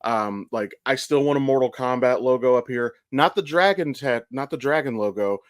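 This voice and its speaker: A man's voice: medium pitch, moderate pace, no echo, clean studio sound. An American man in his thirties from Ohio hosting a casual podcast, talking candidly with rambling stories and plenty of profanity. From Ohio, United States. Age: 30s. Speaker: podcast host. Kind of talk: casual conversational podcast chat.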